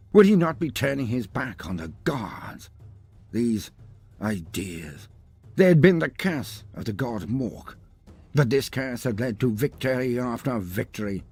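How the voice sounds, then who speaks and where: A man reading scripted fantasy narration as a storyteller, in a pompous, wordy manner with dramatic pauses. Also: mid-range voice, slightly rough.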